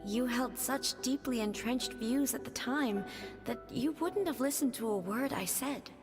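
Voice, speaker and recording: Woman as a gentle fading goddess spirit, vast reverb. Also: fairly high pitch, lightly acted. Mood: apologetic, sorrowful. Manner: tender, measured, poetic. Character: gentle fading spirit of a female deity, serene, wistful, loving. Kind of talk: scripted video game voice acting. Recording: long reverb, music over the voice. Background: game music.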